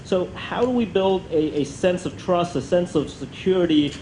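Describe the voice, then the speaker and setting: A man's voice: high-pitched, moderate pace, mellow. A man moderating a conference panel in a hesitant, rambling way, often repeating words. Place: multi-purpose function room at a conference. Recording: echoey function room, faint background noise.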